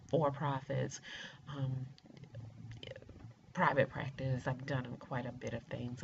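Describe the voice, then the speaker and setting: Middle-aged US woman, deep raspy voice thick with a cold, halting informal self-introduction, muffled recording. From rural Georgia, United States. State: congested with a cold. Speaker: doctoral student introducing herself. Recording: muffled lo-fi video, faint noise.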